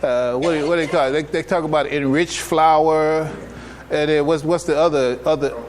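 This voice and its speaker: A man giving a religious lecture as an imam, conversational and halting, repeating phrases and checking in with his listeners. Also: on the high side, steady tone. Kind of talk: religious lecture, explaining to an audience.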